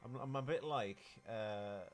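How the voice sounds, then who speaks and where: A man's voice: mid-range voice, measured pace, over music. A man co-hosting a podcast, chatting casually about films in a joking, self-deprecating way. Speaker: podcast co-host. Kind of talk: casual film discussion chat.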